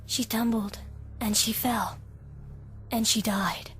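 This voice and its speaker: A woman's voice: a little high, steady tone. A woman playing a teenage schoolgirl with a theatrical, spooky storytelling manner in an anime fan dub. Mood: eerie, ominous. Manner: hushed, drawn-out, ominous storytelling. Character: teenage schoolgirl telling an eerie ghost story, easily frightened. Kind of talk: dramatic ghost-story narration in an anime fandub. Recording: background music behind the voice.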